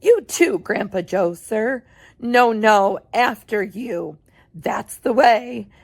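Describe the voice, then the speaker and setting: A woman's voice: on the high side, lively intonation, slow pace, creaky, heavily acted. A woman voicing an eccentric, cheerful man who owns a chocolate factory, talking in a bouncy, theatrical way. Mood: gleeful.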